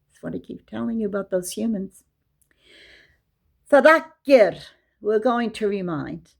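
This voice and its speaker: A woman's voice: medium pitch, lively intonation, a little slow, creaky. A woman giving a casual religious lesson, explaining verses and reciting Arabic. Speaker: Quran teacher.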